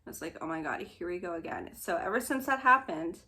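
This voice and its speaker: A woman's voice: fairly low pitch, lively intonation, quick pace, sharp tone. This is a young woman chatting through a casual vlog update. Her voice is a touch nasal from congestion, and she sounds slightly short of breath. She fills her talk with "like" and "um" and laughs easily. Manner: chatty, laughs often, many fillers. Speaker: vlogger.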